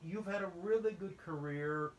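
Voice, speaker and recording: A man's voice: high-pitched, normal speed. Chatty male interviewer, stumbling starts, echoey room with faint background hum. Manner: chatty, repeats words, laughs often. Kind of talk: casual interview.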